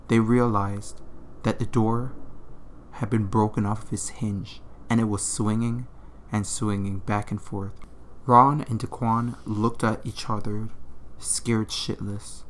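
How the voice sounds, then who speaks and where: Bright-voiced man telling a crude horror story. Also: fairly low pitch. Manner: crude, slangy, irreverent. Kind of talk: informal comedic horror story narration.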